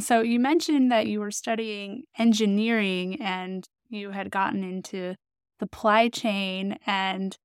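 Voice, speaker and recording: A woman's voice: medium pitch, clean tone, no echo, studio quality. A woman hosting an interview podcast, asking questions in an upbeat, friendly way. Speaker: podcast host.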